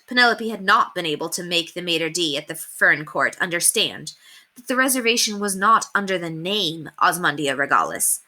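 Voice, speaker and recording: A woman reading a children's novel aloud in an expressive, carefully enunciated storytelling manner. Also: lowish voice. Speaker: teacher reading a book aloud.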